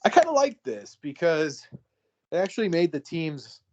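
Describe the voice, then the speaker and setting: A man's voice: on the high side, very animated, normal speed, a little mellow, muffled recording. A man hosting a casual reality-show review podcast, talking in a rambling way with many fillers and easy laughs. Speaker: podcast host. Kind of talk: casual chat.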